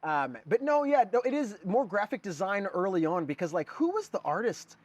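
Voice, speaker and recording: A man's voice: sits high, big pitch swings, normal speed. A man in his forties who grew up in Germany, a guest artist talking casually and rambling, with lots of fillers and "dude". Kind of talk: casual conversation.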